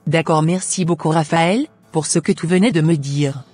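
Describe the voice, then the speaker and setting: Man as high-voiced troubled cartoon daughter. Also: normal speed, a little mellow, slightly rough, soft background music. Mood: grateful. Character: troubled, disappointed young adult daughter. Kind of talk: scripted cartoon dialogue.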